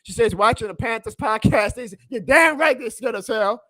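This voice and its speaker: A man hosting a live sports reaction stream, excitable and emphatic, his voice going hoarse. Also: very high voice, brisk, no echo. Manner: excitable, emphatic, plugs merch, reads chat aloud. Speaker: sports fan livestream host.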